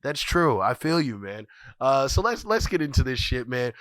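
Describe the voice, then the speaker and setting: A man with a stuffy, scratchy voice from a cold hosts his podcast. He rambles and repeats himself, swearing freely, at a middling pitch. The sound is close and dry. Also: very animated, normal speed, a bit bright, dry recording. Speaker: podcast host. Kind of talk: casual profane solo commentary.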